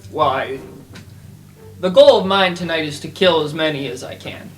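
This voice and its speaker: A man playing a blunt but friendly killer-for-hire in a tabletop roleplay, speaking haltingly. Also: fairly high pitch, faint music behind, close to an everyday voice, rough recording. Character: blunt male mercenary killer, gruffly friendly.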